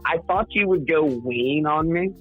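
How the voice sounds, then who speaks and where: A man's voice: a little high, expressive, a little slow, faint music behind. Male call-in guest, profane and blustery, voice worn from singing, phone-quality audio. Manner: profane, blustery, easily riled. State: strained voice from singing. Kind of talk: casual comedic banter. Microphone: remote call. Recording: tinny phone-like call line.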